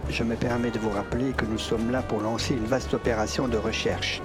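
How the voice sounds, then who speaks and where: A deferential aide acted by a woman with an extremely deep voice for a female, stiff and pedantic. The formal, measured audio drama delivery sits over loud music. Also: fairly even intonation. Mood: formally reproving.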